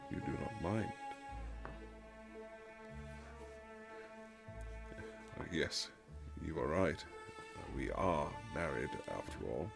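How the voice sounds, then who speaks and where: A man playing a reserved, formal Regency husband who is awkwardly tender, speaking in halting period phrases in a romantic audio roleplay, with a gravelly voice. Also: a bass voice, heavily acted. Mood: flustered, tender.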